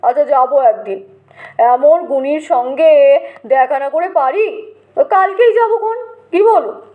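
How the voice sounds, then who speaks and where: Casting: female reader as self-important, pot-bellied gentleman poet, pompous, high-pitched, slow, comic audiobook. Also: narrow, tinny audio. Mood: melting, self-pleased. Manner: pompous, easily flattered.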